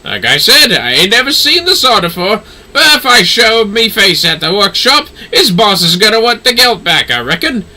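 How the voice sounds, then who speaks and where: Man doing a surly sailor, sharp-voiced. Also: high voice, very animated, moderate pace, few pauses, heavily acted. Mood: surly, defensive. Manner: coarse, slangy, grumbling. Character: blunt, surly, hard-drinking sailor turned gunnery instructor. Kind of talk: fantasy game dialogue read aloud.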